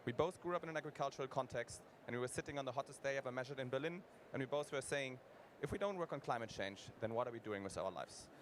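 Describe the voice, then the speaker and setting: A man pitches his startup on a conference stage, speaking directly to the audience in an engaging way. His pitch sits in the middle range. Clear background noise runs behind him. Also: expressive, quick pace.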